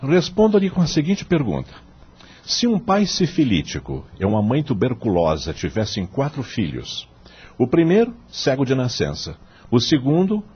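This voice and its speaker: Eloquent judge and mentor, Brazilian accent, radio drama, formal, very lively intonation, dull lo-fi recording. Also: male voice, medium pitch, breathy edge, full character voice. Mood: earnest, teaching. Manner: formal, oratorical, rhetorical questions. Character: eloquent male judge and mentor, devout, firmly principled. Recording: dull lo-fi audio, faint music.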